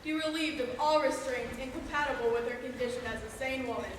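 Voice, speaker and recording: In a long, echoing hall and at a distance, a woman plays a period courtroom judge in a stage drama. Her high voice is solemn, formal and full of official authority. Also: fast, close to an everyday voice. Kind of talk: stage play acting. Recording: echoey hall, distant mic, faint noise.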